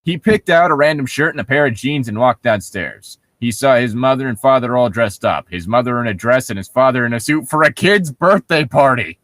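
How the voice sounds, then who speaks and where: A man's voice: medium pitch, animated, a little fast, hardly any pauses, fairly bright tone, dry recording. A man co-hosting a mock story reading, switching between reading aloud and sarcastic, profane commentary.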